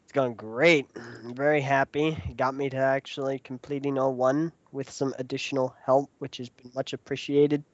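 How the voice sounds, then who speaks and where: A man's voice: medium pitch, level tone, moderate pace, dry recording. Man chatting plainly, dull dry audio. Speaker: podcast regular, video reviewer. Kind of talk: casual chat.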